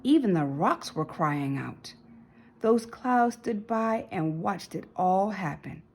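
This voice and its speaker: Female narrator for kids' picture book: highly animated pitch, medium register, a touch of rasp, emphatic and dramatic. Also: takes it slowly. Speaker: storybook narrator. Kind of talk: expressive children's read-aloud.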